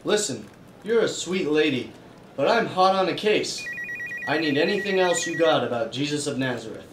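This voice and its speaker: A man's voice: on the high side, lively intonation, moderate pace, roomy sound, distant mic, background noise, lightly acted. A man doing a wry noir private eye. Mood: smooth-talking. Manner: wry, world-weary voice-over. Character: hard-boiled male private detective narrator. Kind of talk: noir radio-drama narration.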